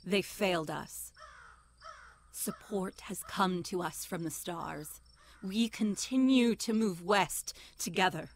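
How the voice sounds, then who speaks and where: A woman's voice: on the low side, takes it slowly. A woman playing a solemn animal leader who addresses fellow creatures in a grave, incantatory horror monologue.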